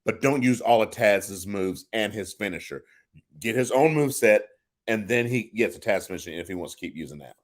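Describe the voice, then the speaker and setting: A man with a very low, deep voice chats casually as a co-host. He speaks bluntly and is firm in his opinions. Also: lively intonation, moderate pace. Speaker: podcast co-host. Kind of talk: casual wrestling talk.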